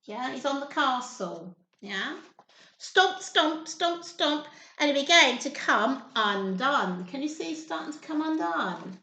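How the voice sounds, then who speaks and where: A woman reading a story aloud to small children in an expressive, encouraging way, often asking questions. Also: high-pitched, a little slow. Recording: roomy echo, faint background noise.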